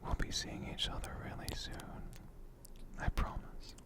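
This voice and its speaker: A performer voicing a gentle, affectionate dragon healer in a soft, breathy ASMR whisper, slow and soothing with tender, lingering pauses. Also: close to an everyday voice. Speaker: ASMR creator.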